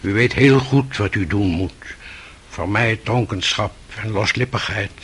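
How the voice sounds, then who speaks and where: An actor playing a grave, kindly old holy monk in a radio play, speaking in a solemn, measured manner. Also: male voice, on the low side, a bit bright, a little hiss or hum. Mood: stern, admonishing.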